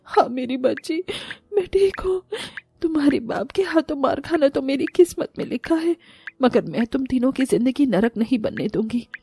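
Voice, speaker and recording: A woman's voice: high voice, lively intonation, normal speed, warm tone, husky, no room sound, heavily acted. A woman voicing a poor, long-suffering, devoted mother in a cartoon story, sorrowful and plaintive. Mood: tender, resigned.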